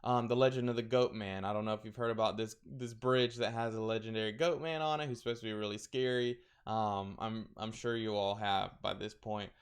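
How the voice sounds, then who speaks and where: A man's voice: on the low side, fairly even intonation, fairly quick, few pauses, clean tone. Man, crackly voice, casual rambling chat, many fillers, clean sound. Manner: rambling, frequent fillers and restarts. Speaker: streamer recommending horror content.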